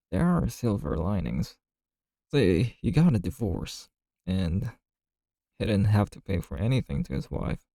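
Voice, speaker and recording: A man playing a chatty, easygoing bartender in an ASMR roleplay, telling gossipy stories in a casual, friendly, unhurried way. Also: fairly low pitch, gravelly, mellow, no room sound, clean studio sound, full character voice. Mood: amused, sympathetic.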